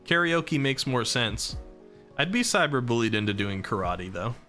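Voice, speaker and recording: A young man streaming live, chatting casually about himself with a rambling, self-deprecating manner, his voice starting to go hoarse from talking so long. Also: medium pitch, normal speed, sharp tone, soft background music. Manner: rambling and self-deprecating, reading chat and laughing.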